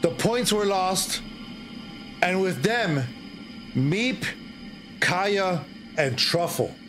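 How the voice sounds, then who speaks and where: A middle-aged man reading a horror story aloud in a theatrical, halting way, often repeating phrases. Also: sits high, expressive, music in the background. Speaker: streamer, horror story reader. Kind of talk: dramatic storytelling read aloud.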